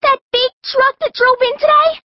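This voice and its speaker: A performer voicing a small, earnest, excitable young pig in a children's audiobook, with emphatic delivery. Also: very high-pitched, moderate pace, no echo. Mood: tearful dread. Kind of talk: audiobook character acting. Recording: dull, lo-fi audiobook sound.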